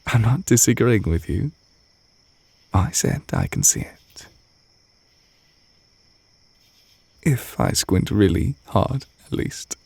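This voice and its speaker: A man acting a gentle, adoring witch boyfriend in an intimate ASMR roleplay, soft-spoken and tender with a light teasing manner. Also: on the low side, sing-song, unhurried, vocal fry, slightly rough, no echo, full character voice. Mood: playfully teasing.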